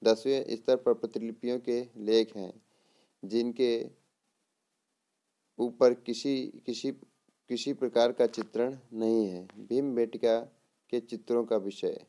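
A man giving an exam lesson read from notes in a deliberate way, posing questions and answering them, sometimes repeating words. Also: medium pitch, steady tone, mellow. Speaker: teacher.

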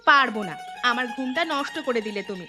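Woman as a high-pitched grumbling cartoon wife. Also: animated, brisk, close to an everyday voice. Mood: annoyed. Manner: scolding, complaining. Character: grumbling, sharp-tongued village wife. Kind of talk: cartoon dialogue. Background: plucked string music.

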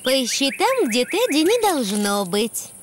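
A woman voicing a calm, patient mother donkey in a preschool cartoon, with a soothing, motherly manner. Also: sits high, slow, full character voice. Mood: practical.

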